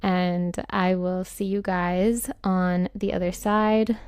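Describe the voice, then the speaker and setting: Smooth low young woman, dry close sound. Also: little pitch movement, takes it slowly, darkish tone, no room sound. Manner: rambling, filler-heavy. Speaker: podcast host. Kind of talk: casual intro chat.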